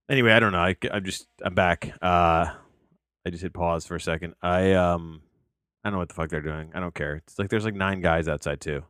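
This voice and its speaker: A man's voice: deep voice, a bit bright, clear, resonant tone, no echo, studio quality. Rambling, profane man reviewing films. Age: 30s. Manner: rambling, profane, self-interrupting. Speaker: podcast host. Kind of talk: casual film review. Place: home, tree crew working outside. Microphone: headphones on, home recording.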